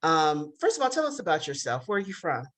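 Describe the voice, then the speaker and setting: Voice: medium pitch, very animated, quick pace, a bit bright. Upbeat interview host. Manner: upbeat, gives frequent short encouraging reactions. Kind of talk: casual interview hosting.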